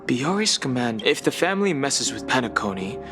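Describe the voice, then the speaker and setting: A man voices a serious young guardian in a game story. He is restrained and formal at a middling pitch, with music clearly behind him. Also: sing-song, moderate pace, lightly acted. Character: serious, level-headed young male guard. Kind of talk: game voice acting.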